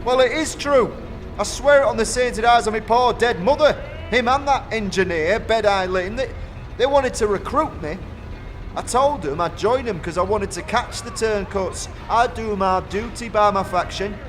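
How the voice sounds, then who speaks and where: A man's voice: high voice, moderate pace, natural voice. A man playing an indignant, blustering accused faction member who splutters and stammers, in a fantasy audio drama. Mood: pleading, self-justifying. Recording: long reverb, music behind.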